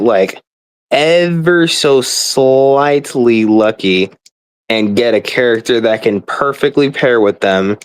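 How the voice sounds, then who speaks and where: A man's voice: medium pitch, dry recording, poor audio. A young man on a casual, rambling gamer rant, exasperated, blunt and sweary.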